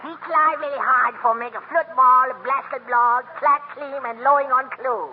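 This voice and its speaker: A man playing a polite, excitable immigrant tradesman in a 1930s radio comedy, speaking repetitive, courteous broken English. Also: very high-pitched, normal speed. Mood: proud, giggly. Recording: narrow-band crackly 1939 radio recording.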